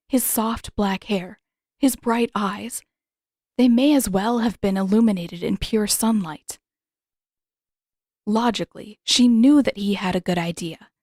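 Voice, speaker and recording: A woman reading scripted fiction as an expressive audiobook narrator. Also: medium pitch, no room sound, clean studio sound. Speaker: fan fiction author and narrator.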